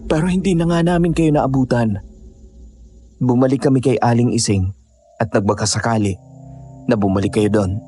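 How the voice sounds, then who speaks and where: Man acting mellow, devoted young boyfriend. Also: mid-range voice, moderate pace, long pauses, husky, faint music behind, lightly acted. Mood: earnest, recounting. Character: devoted, earnest young boyfriend who escaped a mountain. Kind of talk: audio horror drama dialogue.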